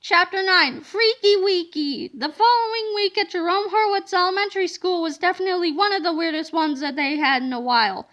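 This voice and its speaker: A woman reading a children's book aloud as an expressive, emphatic storyteller. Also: very high-pitched, fairly quick, few pauses, muffled recording.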